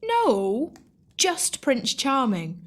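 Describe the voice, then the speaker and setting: A woman's voice: sits high, wildly swooping pitch, extremely slow, exaggerated voice. A woman playing a lovelorn, earnest young servant girl in an audio pantomime, sighing and plaintive. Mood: dreamy.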